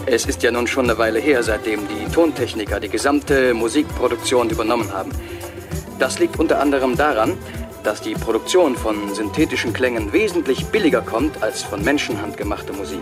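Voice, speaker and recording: A man's voice: medium pitch, fairly quick, close to an everyday voice. An actor playing a smug, blasé male pop superstar in a satirical radio play, reciting technical jargon. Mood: smug, explanatory. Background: loud music under the voice.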